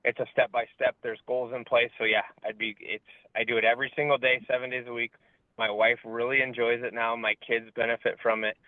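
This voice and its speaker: A man's voice: mid-range voice, level tone, fairly quick, no room sound. Male program leader, earnest and plain-spoken, mid-range voice, thin lo-fi call audio. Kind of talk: informal explanation on a group call. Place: online video call. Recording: muffled narrow-band audio.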